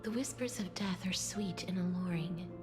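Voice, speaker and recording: Woman acting as gentle female death demigod, young, soft and whispery, solemn, tender, measured, game dialogue, music behind. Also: on the low side, natural voice. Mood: solemn, resolute. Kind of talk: dramatic video game voice acting. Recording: music under the voice.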